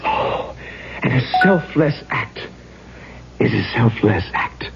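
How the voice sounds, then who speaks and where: An actor playing the intense, pleading ghost of a man in a theatrical, halting 1970s radio drama style. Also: medium pitch, expressive, vocal fry, music in the background. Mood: wondering. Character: intense pleading ghost of a man who took his life. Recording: dull, noisy old radio recording.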